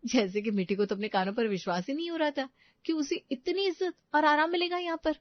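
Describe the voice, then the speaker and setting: A woman's voice: high-pitched, fairly quick, no echo, highs cut off. A woman narrating a scripted Hindi bedtime family story.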